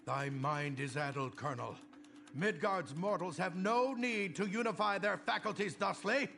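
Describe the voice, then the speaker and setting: A man's voice: high voice, normal speed, creaky, pushed and bright, slight background noise, heavily acted. A man playing a proud Norse thunder god in a video game, with pompous, archaic, formal speech. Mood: haughty defiance. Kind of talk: video game cutscene acting.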